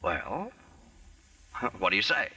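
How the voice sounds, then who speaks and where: Bright-voiced evasive schemer. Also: male voice, sits low, very animated, drawn-out words, slightly rough, heavily acted. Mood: persuasive. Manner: hesitant, ingratiating, evasive. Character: smooth-talking, evasive male small-time schemer. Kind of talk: 1940s radio acting. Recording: noisy vintage 1940s radio recording.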